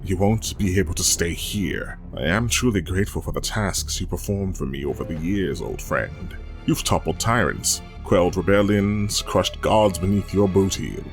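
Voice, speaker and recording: A man voicing a shadowy, solemn hologram figure who speaks in measured lines in a narrated fan story. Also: low voice, voice pushed down. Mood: grave respect. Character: shadowy male hologram, solemn old friend of a warrior. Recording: faint music behind the voice.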